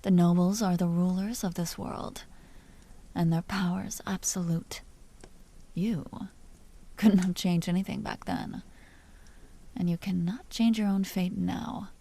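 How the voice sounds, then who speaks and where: Vampire duchess, played by a woman: seductive, imperious and teasing, dark soft tone, unhurried, intimate audio roleplay with whispered phrases. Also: fairly low pitch, fairly even intonation. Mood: cold, wistful. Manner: slow, breathy delivery dropping often into whispers. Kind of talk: scripted audio roleplay, intimate monologue to listener.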